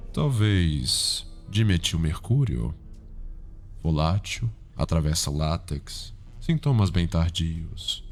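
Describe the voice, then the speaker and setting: Casting: male actor as cultured, sinister killer-consultant, low voice, Brazilian accent, pompous precise delivery, ASMR drama over music. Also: a little mellow. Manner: pompous, precise, savoring his words. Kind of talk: ASMR roleplay acting.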